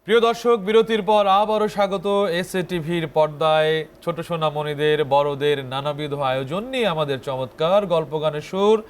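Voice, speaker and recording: A man's voice: a little high, normal speed. A man with a Bangladeshi accent presenting a television song programme, addressing viewers in a formal announcer manner. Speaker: TV show host.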